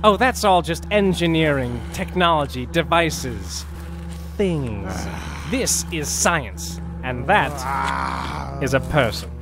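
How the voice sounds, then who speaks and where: An actor playing a gleefully mad, pompous scientist in an audio drama, theatrical and sardonic in delivery. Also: male voice, fairly high pitch, lively intonation, slow pace, vocal fry, over music, exaggerated voice. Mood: dismissive.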